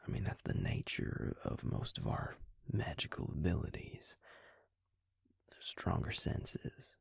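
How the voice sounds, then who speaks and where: Man acting as a hushed, gravelly, slow-talking vampire. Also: wildly swooping pitch, no echo, whispered, studio quality, full character voice. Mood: grave, explaining. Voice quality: husky, breathy, gravelly low voice. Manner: hushed, hesitant, deliberate, with pauses. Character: male vampire, patient, grave, quietly explaining lore. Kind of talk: intimate scripted audio roleplay, speaking to listener.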